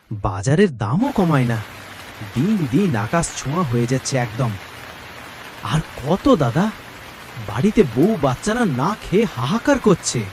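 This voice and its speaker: A man's voice: fairly high pitch, darkish tone. A man voicing a distressed, poor village man in a cartoon story, plaintive and exclaiming, with rain noise behind him. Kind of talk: animated cartoon story acting.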